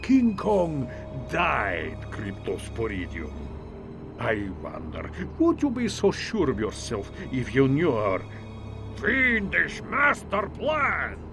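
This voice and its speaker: A man's voice: mid-range voice, huge pitch swings, slow pace, creaky, music in the background, heavily acted. An actor playing a grandiose, gloating video game villain, theatrical, pompous and condescending in the way he monologues. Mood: smug menace. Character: gloating, grandiose male villain with fiendish schemes. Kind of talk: video game cutscene acting.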